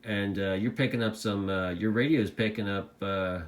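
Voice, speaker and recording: A man's voice: lowish voice, fairly even intonation, normal speed, a little hiss or hum. An American man chatting casually and unscripted as a hobbyist video host, rambling along and repeating phrases for emphasis.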